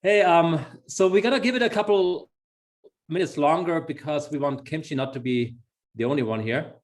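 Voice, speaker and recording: A man's voice: on the high side, moderate pace. Effusive middle-aged Austrian man hosting a webinar. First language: German. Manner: effusive, encouraging. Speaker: webinar host, sales executive. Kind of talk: upbeat chatty webinar presenting. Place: video call.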